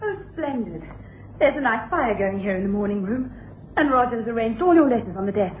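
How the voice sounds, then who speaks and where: An actress playing a solicitous, genteel society wife, polite and attentive, in a radio drama. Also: female voice, fairly high pitch, animated, brisk, lightly acted. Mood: pleasant. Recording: dull, noisy 1980s radio broadcast.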